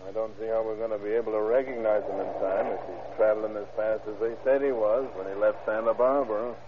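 Man playing sheriff's deputy, level-headed, dry, slangy, old radio play, thin sound, steady noise. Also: lowish voice, fast talker, close to an everyday voice. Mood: matter-of-fact. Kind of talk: 1930s-40s radio drama acting. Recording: tinny, noisy old radio recording.

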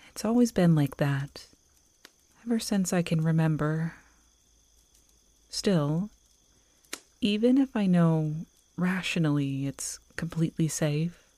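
A woman playing an affectionate, playful girlfriend in a soft, intimate ASMR audio roleplay, close to the microphone. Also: low voice, no room sound. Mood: cosy, reassuring. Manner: intimate, teasing, slow and cosy. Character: affectionate, playful werewolf girlfriend on a camping trip. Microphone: close microphone, dry.

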